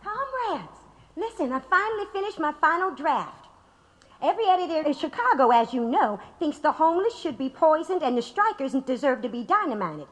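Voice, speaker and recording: An actress playing a rousing, self-assured radical pamphleteer in an audio drama. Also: female voice, high voice, big pitch swings, moderate pace. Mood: fervent. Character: fervent, declamatory female radical pamphleteer. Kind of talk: radio drama acting.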